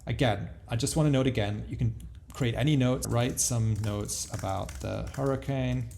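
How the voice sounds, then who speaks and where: A man giving a casual, explanatory product walkthrough as a software demo presenter. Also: mid-range voice, normal speed, creaky, a little hiss or hum.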